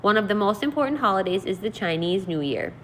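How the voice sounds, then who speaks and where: A woman teacher reading aloud and explaining in an expressive, deliberate, instructional way. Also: medium pitch, slight background noise. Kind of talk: read-aloud lesson, narrating and explaining vocabulary.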